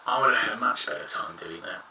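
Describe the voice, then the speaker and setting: A man's voice: lowish voice, fast, sharp tone, slight background noise. A man in his thirties giving frank, rambling advice in a casual monologue. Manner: frank, rambling, blunt opinions. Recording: echoey room, somewhat far from mic.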